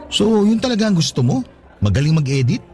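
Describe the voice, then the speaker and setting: Young Filipino suitor, radio drama: lightly high voice, lively inflection, earnest and questioning, music underneath. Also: male voice, brisk. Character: earnest, accommodating but doubtful young suitor. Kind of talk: Tagalog radio drama acting.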